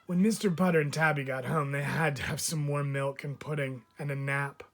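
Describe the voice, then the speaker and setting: A man's voice: a little high, steady tone, fairly quick. A man reading a children's story aloud like a teacher, drawing out phrase endings for emphasis.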